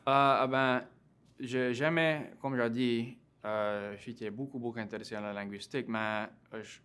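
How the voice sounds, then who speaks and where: A young man in his twenties with a Cajun French accent, talking as an interview guest, halting and full of fillers and restarts. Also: medium pitch, fairly even intonation, fairly bright tone, smooth. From Louisiana. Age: 20s. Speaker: interview guest, graduate student. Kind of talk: casual interview conversation.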